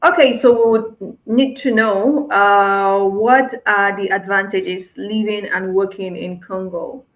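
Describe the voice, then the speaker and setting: A woman's voice: medium pitch, fairly even intonation, slow pace, poor audio. A woman hosting a live podcast from Dubai, asking her guest about work and often backing him up with short affirmations. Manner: frequent short affirmations while listening. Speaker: podcast host.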